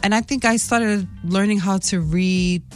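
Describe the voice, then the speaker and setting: A woman's voice: medium pitch, unhurried, faint music behind. A woman with an American accent, who grew up speaking Tongan, chats easily as a guest in a radio studio interview. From Salt Lake City, Utah, USA. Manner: relaxed, chatty, laughs between answers. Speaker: guest singer.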